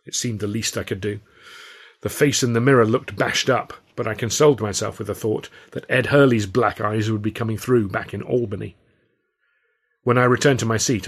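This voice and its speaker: A British man reading his own novel as an expressive, wry first-person audiobook narration. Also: fairly low pitch, slightly rough.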